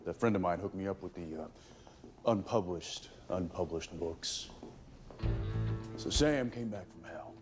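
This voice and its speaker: A man giving a deadpan, matter-of-fact recap of a story. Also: low voice. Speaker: convention attendee. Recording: music playing underneath.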